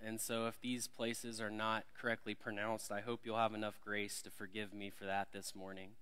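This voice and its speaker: A man's voice: lowish voice, flat delivery, normal speed, pushed and bright, smooth. A man from Alabama, preaching a sermon as a visiting preacher in a church. He speaks in a friendly, self-deprecating way and lifts his voice when he reads scripture. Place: church sanctuary.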